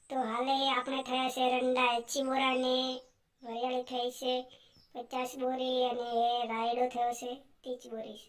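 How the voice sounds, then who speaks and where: A woman's voice: sits high, close to an everyday voice. A woman voicing an earnest, poor farm boy in a cartoon story.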